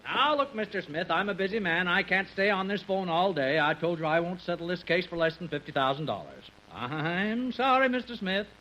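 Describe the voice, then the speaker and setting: An actor playing a busy, absent-minded lawyer in an old radio comedy, brisk, distracted and self-important, on a noisy vintage recording. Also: male voice, sits high, lively intonation, bright, forceful tone. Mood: impatient.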